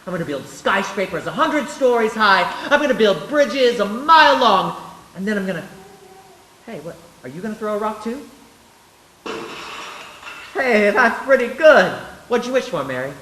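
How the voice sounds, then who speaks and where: A man acts an earnest, boyish young fellow in an old-time stage radio drama. He is folksy and excitable, high-voiced and very animated, in an echoey room. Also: normal speed, long pauses, exaggerated voice. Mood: boyish, eager. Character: eager, restless young small-town man, boyishly earnest. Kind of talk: live 1940s-style radio play acting. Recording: echoey stage, background noise.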